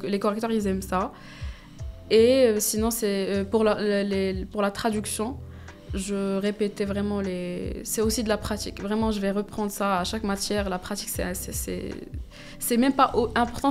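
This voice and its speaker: A woman's voice: mid-range voice, darkish tone. A young woman, a business school student whose first language is Arabic, giving casual interview answers with many fillers and restarts. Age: young adult.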